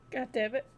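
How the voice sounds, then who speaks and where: A woman's voice: sits high, darkish tone. A woman live streamer giving casual, off-the-cuff commentary on a game to chat.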